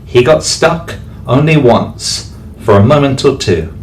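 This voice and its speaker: A man, a teacher, reading a rhyming bedtime story aloud with expressive delivery. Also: medium pitch, unhurried, slight background noise. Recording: distant mic, faint background music.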